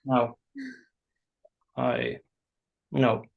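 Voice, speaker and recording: A man acting a gentle, awkward, solicitous host in a staged play reading, hesitant and coaxing. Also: mid-range voice, sing-song, drawn-out words, gravelly, full character voice. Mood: awkward, apologetic. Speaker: actor. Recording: dull, muffled sound.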